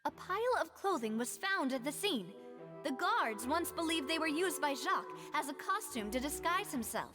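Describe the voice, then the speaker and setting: Game role: determined young female accuser, high-pitched, earnest and formal delivery, music behind. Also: animated, moderate pace. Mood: grave, insistent. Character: determined young woman accuser pressing charges in court. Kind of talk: dramatic video game voice acting.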